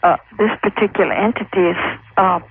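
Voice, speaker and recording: An older woman from Louisiana calling a radio show, speaking in a halting, measured way. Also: mid-range voice, fairly even intonation, gravelly, husky, dry recording. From Louisiana, USA. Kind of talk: phone-in conversation. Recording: muffled phone line on radio.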